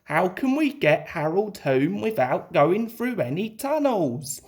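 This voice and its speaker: A woman's voice: on the low side, sing-song, takes it slowly, darkish tone. A woman voicing a fussy, stubborn male tank engine in a children's storybook. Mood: worried.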